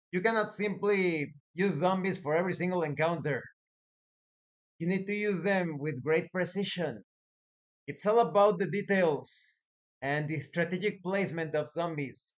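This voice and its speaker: A man hosting a hobby channel, talking casually and giving advice in a deliberate, emphatic way, repeating key phrases for stress. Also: sits high. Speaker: host of a tabletop roleplaying channel.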